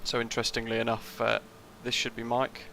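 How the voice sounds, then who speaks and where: A man's voice: medium pitch, completely flat delivery, fairly quick, gravelly, bright, forceful tone, a little hiss or hum. A young British man casually commenting on a live flight sim stream, rambling with lots of fillers and answering chat.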